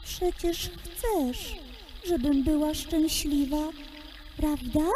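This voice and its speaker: A woman playing a young, loving sea princess in a children's radio play, speaking tenderly and earnestly in measured phrases. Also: high voice, warm tone, a little hiss or hum. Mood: earnest, pleading.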